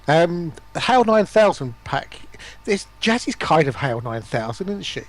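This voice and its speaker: A man's voice: a little high, big pitch swings, measured pace. A man in a casual stream chat, chipping in as a participant.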